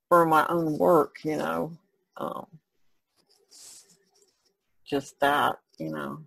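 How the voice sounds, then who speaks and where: A woman's voice: deep voice, creaky, dry recording, poor audio. A woman chatting casually as a podcast panelist, in a hesitant, musing way. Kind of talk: casual chat.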